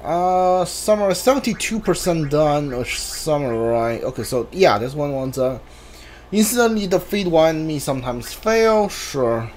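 A man's voice: a little high, expressive, a little slow, darkish tone, slight background noise. A man giving casual commentary as he plays through a video game, as a streamer. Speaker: gameplay streamer.

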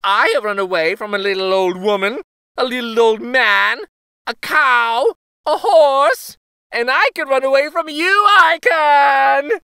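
A man's voice: very high-pitched, unhurried, vocal fry, sharp tone, clear, resonant tone, no echo, pitched above the performer's own voice, heavily acted. A man voicing a cocky little gingerbread boy in a storybook read-aloud, taunting in a boastful sing-song with gleeful cackles.

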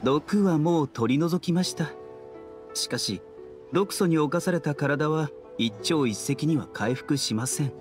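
A man voicing a courteous, gentle, composed doctor in an anime game. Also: fairly high pitch, unhurried, natural voice. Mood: calm reassurance. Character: soft-mannered, courteous adult male doctor, calmly reassuring. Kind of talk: anime video game voice acting. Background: background music.

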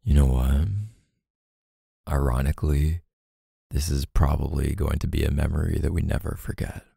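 Very deep, tender boyfriend acted by a man. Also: little pitch movement, vocal fry, warm tone, slightly rough, dry recording, clean studio sound, heavily acted. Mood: soft, musing. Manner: tender, halting, sighing between phrases. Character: gentle, apologetic, doting boyfriend comforting his partner. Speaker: boyfriend roleplay audio performer. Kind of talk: intimate ASMR boyfriend roleplay.

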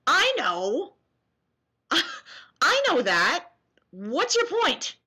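A woman in her twenties with a bright, forceful voice and an unhurried pace. She moves between casual sarcastic commentary and exaggerated, mock-dramatic reading, speaking into a webcam indoors. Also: high voice, huge pitch swings. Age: 20s. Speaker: vlogger. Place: small dim bedroom, indoors.

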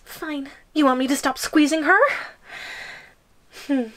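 A woman's voice: high voice, steady tone, a bit bright. A woman playing a sadistic, obsessively jealous giantess in an ASMR audio roleplay, gloating and teasing. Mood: sadistic glee. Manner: gloating, teasing, drawn-out delivery.

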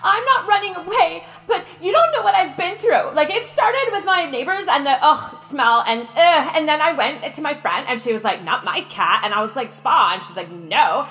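High bright voice, whiny melodramatic middle-aged woman played by an actress, fussy, demanding, rambling, soap-opera comedy. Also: lively intonation, normal speed, hardly any pauses, soft background music, full character voice. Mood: frantic, indignant. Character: frantic, fussy, demanding woman claiming church sanctuary. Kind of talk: improvised soap-opera acting.

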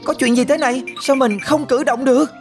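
Woman playing young cartoon boy, southern Vietnamese accent, high pitch, complaining, cheeky, prominent music behind. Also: fairly even intonation, a little fast, natural voice. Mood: startled, cheeky. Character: grumbling, mischievous young adventurer boy. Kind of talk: animated cartoon dubbing.

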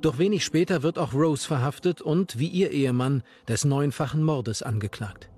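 A man's voice: a little high, normal speed, darkish tone, faint music behind. A man reading scripted narration as the narrator of a true crime documentary.

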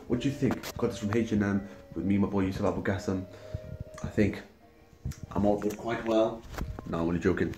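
A man's voice: fairly low pitch, echoey room. Cheeky young lad vlogging from his kitchen. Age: young adult. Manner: cheeky, joking slang banter. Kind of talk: casual vlog to camera. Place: home kitchen indoors. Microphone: phone camera held at arm's length.

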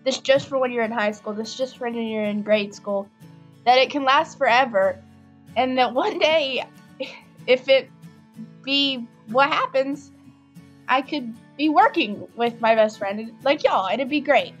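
A woman's voice: high voice, measured pace, highs cut off, faint music behind. A young woman, giving heartfelt thanks in a casual message to camera. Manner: heartfelt, listing thanks in a steady build.

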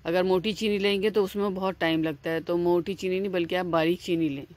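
A woman's voice: lowish voice, steady tone, a little fast, darkish tone. A woman hosting a home cooking video, explaining each step in a chatty, repetitive way with frequent fillers and restarts. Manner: chatty, repeats herself, many "aa" fillers and restarts. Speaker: cooking channel host. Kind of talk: casual step-by-step cooking instructions.

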